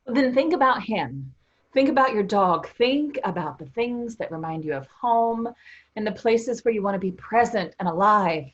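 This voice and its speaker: Lady acting earnest encouraging lost soul in a play reading, husky n expressive, kinda dull sound. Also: mid-range voice, normal speed, lightly acted. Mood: eager, encouraging. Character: earnest, puzzled invisible sibling, gently encouraging others.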